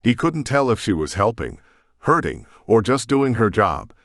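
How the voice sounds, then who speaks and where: A man's voice: medium pitch, big pitch swings, moderate pace, a bit bright, no room sound, clean studio sound. A man reading scripted fiction as an audiobook narrator. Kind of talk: scripted sci-fi story narration.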